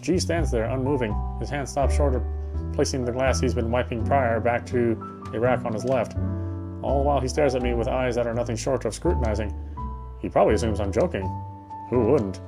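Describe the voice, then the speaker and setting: Man narrating over clear game music. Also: medium pitch. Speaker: gamer reading a visual novel aloud. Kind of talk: scripted first-person narration, read aloud.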